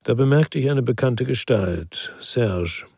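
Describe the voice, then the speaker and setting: An actor playing a brooding former policeman who narrates his own crime story in a measured, terse way for radio drama. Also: mid-range voice, animated, vocal fry, a little mellow, breathy edge, no room sound, studio quality, heavily acted. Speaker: first-person narrator and lead actor.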